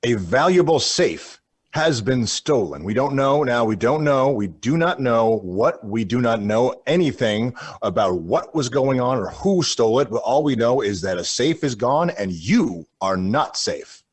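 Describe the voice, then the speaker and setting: A man's voice: medium pitch, lively intonation, unhurried, slightly rough, no echo. A man playing an alarmist radio news anchor who delivers breaking news solemnly, repeating and stumbling over himself. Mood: grave alarm.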